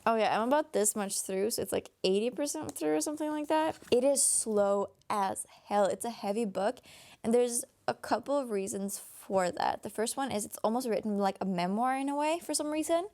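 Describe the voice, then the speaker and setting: Tired raspy woman, rambling review. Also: fairly high pitch, moderate pace, darkish tone. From Denmark. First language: Danish. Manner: rambling, many fillers and restarts. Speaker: book vlogger. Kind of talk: casual book review vlog.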